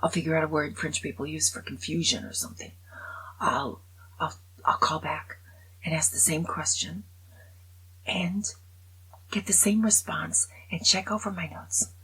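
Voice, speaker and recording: A woman's voice: deep voice, fairly even intonation, a little slow, pauses often, sharp tone, whispered, rough recording. A woman in her seventies chatting casually to camera, rambling and poking fun at herself. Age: 70s. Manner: chatty, rambling, self-mocking humor. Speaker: vlogger.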